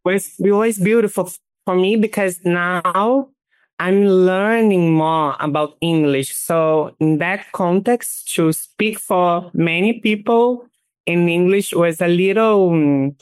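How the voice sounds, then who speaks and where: Artist guest, woman, halting English, low and clear. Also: a little mellow, dry recording. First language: Portuguese. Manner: halting, searches for English words.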